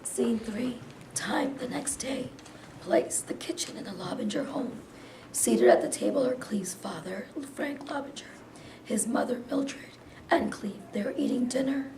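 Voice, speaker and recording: A woman's voice: on the high side, measured pace, a little mellow. A woman reading quotations and stage directions as scripted narration for a staged play reading. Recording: echoey room, distant mic, clear noise.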